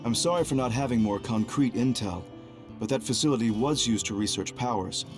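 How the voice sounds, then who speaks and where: A man playing a composed, calculating young double agent in a video game, speaking in a measured, formal, grave way. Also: mid-range voice, over music, lightly acted. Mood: calm, businesslike. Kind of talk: anime-style video game cutscene acting.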